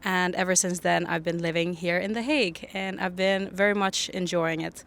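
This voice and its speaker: A woman's voice: lowish voice, flat delivery, normal speed, a bit bright. A young woman from Finland, a Finnish native speaker, chatting as a podcast guest on an outdoor bench, laughing often and filling her talk with "like". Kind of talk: casual interview chat. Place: public bench by a harbour outdoors.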